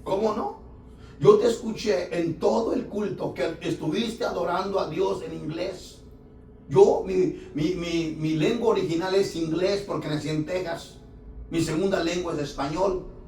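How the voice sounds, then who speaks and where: Man, pastor, fervent sermon, high voice, roomy church echo. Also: a little slow, distant mic, slight background noise. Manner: fervent preaching, rising to shouts, filler "hermano". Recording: echoey church room, voice from off-mic.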